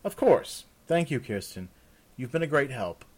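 A man's voice: mid-range voice, sing-song, a little slow, breathy edge, voice pushed up. A man playing a blunt, headstrong woman of rank who talks informally and demandingly in a fantasy story. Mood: grateful. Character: blunt, headstrong young noblewoman, easily exasperated. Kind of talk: fantasy audiobook character.

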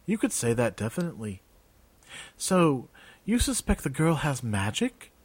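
A gentle, earnest man of faith with old-fashioned phrasing, as the narrator voices him. His tone is even and mild, recorded dry and close. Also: mid-range voice, measured pace, breathy edge, no room sound.

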